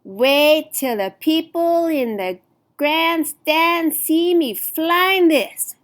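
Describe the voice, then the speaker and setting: Woman acting as young girl inventor, high voice, eager, determined, earnest, storybook character. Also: drawn-out words. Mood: eager anticipation.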